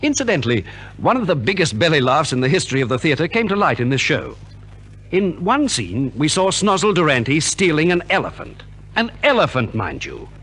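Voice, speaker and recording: Lively 1950s radio narrator, higher-pitched man. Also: normal speed, husky. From Australia. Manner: polished, theatrical storytelling. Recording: vintage 1950s radio transcription recording.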